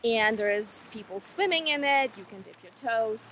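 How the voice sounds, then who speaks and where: High-voiced chatty woman vlogging outdoors. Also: animated, normal speed, fairly bright tone, a little hiss or hum, rough recording. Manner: chatty, laughs easily. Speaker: family travel vlogger. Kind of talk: casual vlog narration. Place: outdoors by a river in the mountains. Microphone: handheld action camera at arm's length. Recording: background music.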